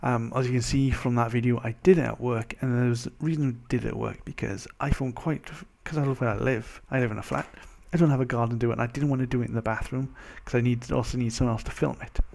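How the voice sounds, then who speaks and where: A young man with a mild Welsh accent, chatting casually as a vlogger in a rambling, animated, self-interrupting way. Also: medium pitch, quick pace, vocal fry, hardly any pauses. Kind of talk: casual chat to camera.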